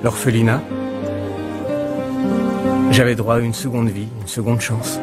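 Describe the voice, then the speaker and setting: A man's voice: medium pitch, unhurried. Middle-aged man narrating over music. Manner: calm, introspective. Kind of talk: reflective film voice-over narration. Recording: voice-over with loud music behind.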